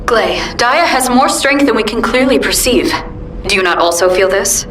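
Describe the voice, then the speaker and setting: An actress playing a calm, gentle female ally in a game cutscene, speaking softly and persuasively. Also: fairly high pitch, normal speed, echoey room, distant mic, faint music behind. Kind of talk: video game cutscene acting.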